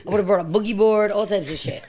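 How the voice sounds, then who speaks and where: Hoarse woman, thirties, playful teasing podcast guest, mid-range voice. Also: mid-range voice, quick pace, a crowd in the background. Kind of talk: casual podcast chat. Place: podcast studio.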